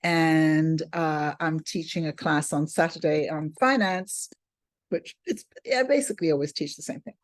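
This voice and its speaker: Effusive woman teaching, slightly low voice. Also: moderate pace. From England. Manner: effusive, exclamatory. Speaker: teacher of a film finance class. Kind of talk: informal online class teaching. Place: remote video call.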